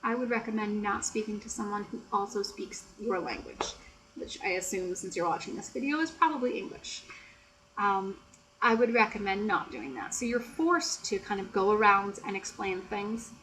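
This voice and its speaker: An American woman chatting softly and explaining things in a casual, teacherly way, with frequent "you know". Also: medium pitch, expressive, normal speed, a little hiss or hum, poor audio. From United States. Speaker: ASMR creator and English tutor. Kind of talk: soft-spoken ASMR chat.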